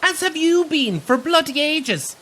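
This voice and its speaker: An actor with an Irish accent playing a brash, sarcastic coach tour guide in an audio drama, theatrical and teasing. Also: male voice, very high-pitched, expressive, measured pace, fairly bright tone, exaggerated voice. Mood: exasperated.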